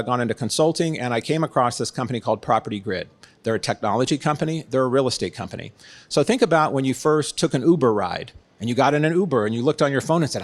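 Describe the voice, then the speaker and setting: A middle-aged man with an American accent, speaking as an interview guest in a conversational, explanatory way. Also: mid-range voice. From United States, San Diego, California. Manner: explanatory, uses everyday analogies. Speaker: guest, real estate consultant and former executive.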